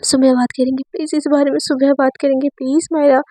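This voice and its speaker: A stern young woman who snaps short commands, as a woman storyteller puts her on. Her voice is high and sits close, in a dry recording. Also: flat delivery, fast, warm tone, dry recording, lightly acted. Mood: insistent. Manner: firm, clipped orders.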